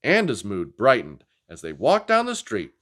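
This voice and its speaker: A man's voice: medium pitch, wildly swooping pitch, normal speed, fairly bright tone. A man reading a picture book aloud to children, breaking off now and then to chat casually with them. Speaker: children's storytime reader.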